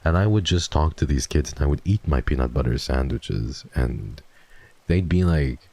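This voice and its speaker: Deep-voiced man, lively swearing streamer. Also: moderate pace, breathy edge. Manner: swears freely, jumps between mumbling and excited high yelps. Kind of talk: casual chat with viewers.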